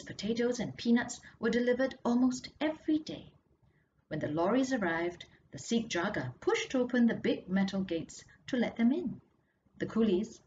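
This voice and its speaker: A woman narrates a story in a measured, dramatic way. Her voice sits at a medium pitch and is expressive, as if reading from a book. The recording is slightly dull, with clear noise behind the voice. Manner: measured, dramatic, drops to hushed tones for suspense. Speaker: author reading their own story. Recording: muffled audio with clear background noise.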